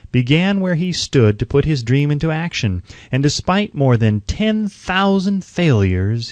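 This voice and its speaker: A man's voice: medium pitch, a little mellow, dry recording. Man reading an audiobook, dry close sound. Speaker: audiobook narrator. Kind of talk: scripted audiobook narration of a self-help book.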